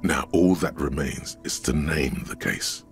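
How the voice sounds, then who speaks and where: A man voicing a video game narrator who speaks a detective's brooding inner thoughts in deliberate literary narration, gravelly and husky. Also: a bass voice, faint music behind. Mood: brooding, solemn.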